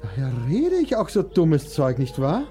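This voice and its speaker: Radio drama casting: fragile, capricious young composer, melancholic and dreamy, dark mellow voice with wide swings. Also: male voice, a little high, huge pitch swings, measured pace. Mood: agitated. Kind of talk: German audio drama acting. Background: music.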